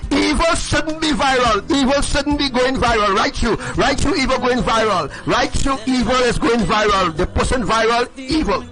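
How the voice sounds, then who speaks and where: High-voiced man preaching with fervent repetition. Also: moderate pace, hardly any pauses, music in the background, rough recording. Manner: emphatic and repetitive, asks the audience "right?". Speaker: livestream preacher. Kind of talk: impassioned livestream preaching.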